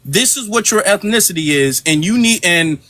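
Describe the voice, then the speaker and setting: A man hosting an online audio room, brisk and affirming as he moderates. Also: high-pitched, animated, pushed and bright. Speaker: room host. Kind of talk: casual moderating.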